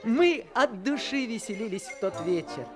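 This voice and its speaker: A reflective young man narrates his own story in a fairly high, lively voice. He sounds wistful as he looks back, with faint music beneath him in this old studio radio drama. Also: moderate pace. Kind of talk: 1970s Soviet radio play narration.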